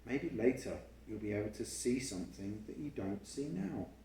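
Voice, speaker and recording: A man voicing a storybook boy's calm, encouraging sister, with a gentle advising manner. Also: lowish voice, normal speed, creaky, far from the mic, a little hiss or hum. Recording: roomy, echoey home recording.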